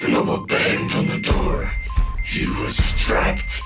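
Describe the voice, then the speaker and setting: A man playing a grave, theatrical narrator of a dark science fiction audio drama, with drawn-out, ominous delivery. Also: lightly acted. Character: ominous male narrator of a dark sci-fi tale. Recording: echoey, distant voice under loud music.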